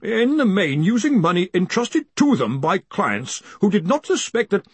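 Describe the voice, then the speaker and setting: Guy doing nervous wizard professor, high voice, halting reading, storybook style, really dry sound. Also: very animated, slightly rough, no echo, full character voice. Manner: hesitant, stammering. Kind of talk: audiobook character acting.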